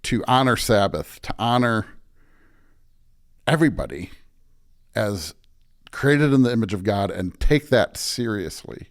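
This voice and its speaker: Guy pastor chatting on a podcast, lowish voice, earnest and emphatic, kinda preachy cadence. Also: animated, unhurried, long pauses. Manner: earnest, emphatic, builds with repeated rhetorical questions. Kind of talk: conversational interview talk with preacherly stretches.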